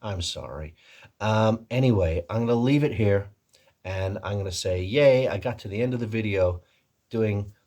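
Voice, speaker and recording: A man putting on an American accent as a rambling, self-deprecating video presenter with a husky voice, in a casual vlog style. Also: on the low side, normal speed, darkish tone, lightly acted. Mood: self-mocking, chatty. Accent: put-on American. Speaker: video host and actor.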